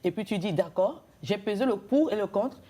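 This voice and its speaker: A woman's voice: mid-range voice, moderate pace, mellow. A woman from Cameroon, a guest entrepreneur and author, talking thoughtfully in an interview with frequent hesitations and rhetorical questions. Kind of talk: conversational interview.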